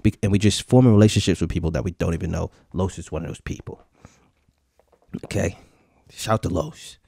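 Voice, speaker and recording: A man's voice: deep voice, brisk, gravelly, warm tone, husky, dry recording. A man in his thirties hosting a podcast live, ranting casually to viewers with lots of swearing and comic repetition. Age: 30s. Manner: profane, swaggering, repeats lines for comic effect. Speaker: podcast host.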